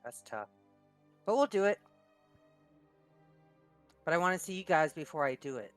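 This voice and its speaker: Low-voiced woman chatting over a call. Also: lively intonation, moderate pace, faint music behind. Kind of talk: casual chat with a gaming group.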